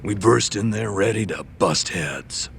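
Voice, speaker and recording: Gruff, deep-voiced, hot-tempered yakuza thug. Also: male voice, unhurried, slight background noise. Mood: grudging, cagey. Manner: gruff, belligerent, sneering, profane. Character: hot-tempered foul-mouthed male yakuza gangster, defiant, cagey. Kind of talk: English video game dub acting.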